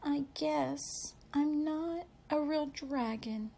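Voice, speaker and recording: Woman as an earnest little boy dragon, high-voiced. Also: extremely slow, creaky, mellow, heavily acted. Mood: dejected. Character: little seven-year-old boy dragon, earnest, sensitive.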